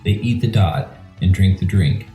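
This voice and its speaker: A male narrator reads scene directions in a very low, dark voice. The sound is roomy and echoing, with music faintly underneath his scripted narration. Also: expressive, normal speed. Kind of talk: scripted screenplay-style narration.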